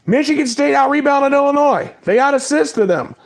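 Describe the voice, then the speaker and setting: A man with a cold giving a casual spoken recap of basketball results, rattling off stats in quick lists. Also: very high-pitched, expressive. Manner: reads stats in quick lists, apologizes for mispronouncing names. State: has a cold. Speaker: sports talk host. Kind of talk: casual sports recap monologue.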